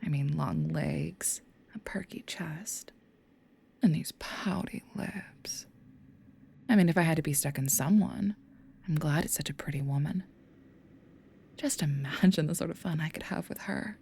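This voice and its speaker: A seductive demon in a woman's body, acted by a woman for an audio roleplay. The voice is low and creaky, slipping into breathy whispers as it mocks and teases in drawn-out phrases. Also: darkish tone, exaggerated voice. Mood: seductive teasing. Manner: mocking, teasing, drawn-out phrasing.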